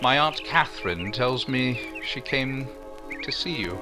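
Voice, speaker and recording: A courteous, reserved gentleman in love in a vintage radio romance , acted by a man. He speaks slowly and formally, with expressive rises and falls. Music plays clearly beneath his voice. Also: mid-range voice, close to an everyday voice. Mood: tender, earnest. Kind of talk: recreated 1940s radio drama acting. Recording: music playing under the dialogue.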